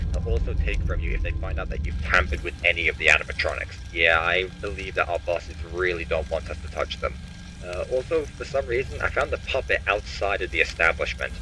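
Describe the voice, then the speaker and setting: A man's voice: sits low, lightly acted. A young man playing a friendly, slightly uneasy night-shift employee, leaving polite, casual instructions in a horror game. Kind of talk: recorded phone message in horror game. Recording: clearly noisy taped message.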